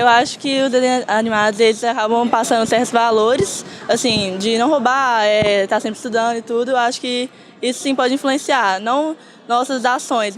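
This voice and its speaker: A Brazilian woman answering a street interview in an earnest, slightly hesitant way. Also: a little high. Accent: Brazilian Portuguese.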